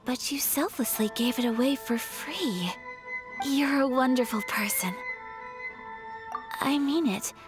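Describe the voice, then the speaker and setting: A woman playing a gracious, gentle young noblewoman in a video game, speaking in a polite, formal, measured manner. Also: high voice, lively intonation, over music. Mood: sincere admiration. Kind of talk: animated game character acting.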